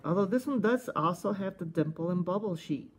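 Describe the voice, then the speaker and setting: A woman giving casual, unscripted hands-on review commentary in a halting, conversational way, thinking aloud. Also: on the low side, expressive, breathy edge. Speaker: host of a cassette collecting video channel. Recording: faint background noise, casual tablet recording.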